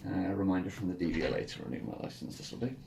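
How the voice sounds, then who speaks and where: A man's voice: low voice, very fast, vocal fry. A man speaking informally as game master, hesitating and repeating words as he casually narrates a tabletop game among friends. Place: indoor room, in-person game session. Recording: roomy, distant mic, noisy background. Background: ambient music and noise.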